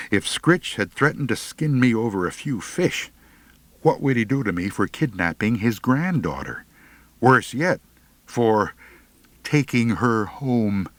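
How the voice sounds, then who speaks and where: Male audiobook narrator: wry, theatrical humor, very lively intonation, dry studio-close sound. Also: on the low side, dry recording. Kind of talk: expressive humorous first-person storytelling.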